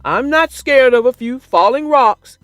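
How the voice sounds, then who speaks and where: Woman as a cocky storybook diesel engine, young male, impatient, loud and forceful, lively pitch, music behind. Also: unhurried, dry recording, clean studio sound. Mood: scoffing. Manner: bragging, brash, interrupting.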